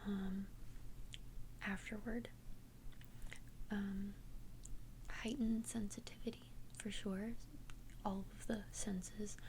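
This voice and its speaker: A woman speaks in a close, breathy whisper, slowly and with very little rise and fall. She plays a friendly vampire best friend in an ASMR roleplay, gently and hesitantly confiding. Light ambient noise sits behind her voice. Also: mid-range voice, poor audio. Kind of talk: whispered ASMR roleplay, one-sided conversation. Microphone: close ASMR microphone.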